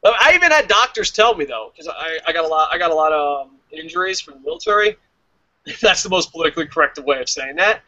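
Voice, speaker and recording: A man with a high, very lively voice hosts a loose wrestling talk show. He jokes and curses as he reads comments aloud. The sound is close and dry, slightly muffled. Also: fast, no room sound. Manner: profane, joking, animated. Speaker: wrestling review show host. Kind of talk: casual wrestling talk, reading out listener comments.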